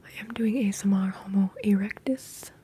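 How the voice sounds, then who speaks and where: A soft-spoken woman hosting an ASMR livestream, talking in a breathy, whispery hush and chatting casually with her viewers. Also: medium pitch, little pitch movement, a little hiss or hum. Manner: hushed, unhurried casual talk.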